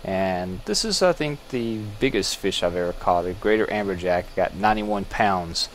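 A man with a very low voice talks through a video game in an easygoing, musing way, often pausing to restart a thought. A faint hiss sits behind his home desk microphone. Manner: relaxed, musing, thinking aloud with small restarts. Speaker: gameplay video host. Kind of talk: casual gameplay commentary.

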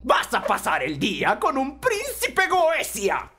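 A man's voice: very high-pitched, wildly swooping pitch, slow, slight background noise, heavily acted. A man voicing a pushy, greedy imp father and circus ringleader in a cartoon fan dub, with a Latin American Spanish accent and a manipulative manner. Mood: commanding, greedy. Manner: forceful, manipulative.

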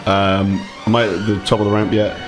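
A man's voice: lowish voice, little pitch movement, fairly quick. A man talking casually as a player in a tabletop role-playing game. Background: background music.

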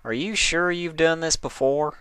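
A man's voice: fairly high pitch, moderate pace, pushed and bright, clean tone, dry recording, pitched above the performer's own voice. A man voicing a practical, self-assured female farm pony in a story reading, explaining things with huffy patience. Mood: reassuring. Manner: explanatory, huffy, matter-of-fact.

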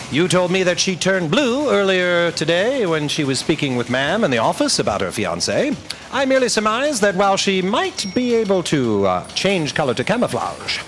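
An actor playing an intrepid, courteous space detective, explaining things calmly in a staged audio drama. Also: male voice, high-pitched, normal speed, heavily acted. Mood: calm, deductive. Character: intrepid male space detective, calm and polite. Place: theatre stage, indoors. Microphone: stand microphone. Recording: live stage recording with hiss.

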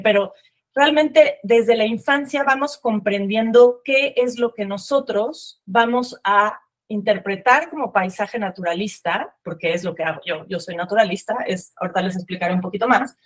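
A woman in her forties from Mexico City with a Mexican accent, giving a webinar talk on a video call, conversational with many fillers and restarts. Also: medium pitch. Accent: Mexican Spanish. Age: 40s. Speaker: landscape architect giving a webinar. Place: room with bookshelves. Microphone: video call webcam.

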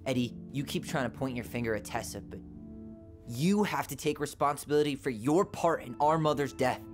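A man's voice: mid-range voice, fairly quick, over music, close to an everyday voice. An actor playing a guarded, wounded young man in a video game, blunt and understated in delivery. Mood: guarded, bitter. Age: young adult.

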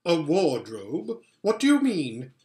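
A man's voice: on the high side, huge pitch swings, takes it slowly, mellow, exaggerated voice. A man voicing a haughty, menacing evil queen in a storybook reading, with a commanding, pompous manner. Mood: scornful, impatient. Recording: roomy indoor home recording.

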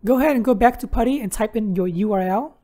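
A woman's voice: a little high, quick pace, warm tone. A woman hosting a how-to tutorial, narrating instructions step by step. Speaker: tutorial host.

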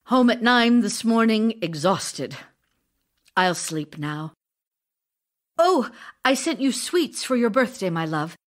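Vivacious, theatrical devoted wife. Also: female voice, fairly high pitch, very animated, slow pace, pauses often. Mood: keyed up, affectionate. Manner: theatrical, effusive, teasing. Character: vivacious, self-dramatizing actress wife, devoted yet restless. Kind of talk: audio drama acting, reading love letters.

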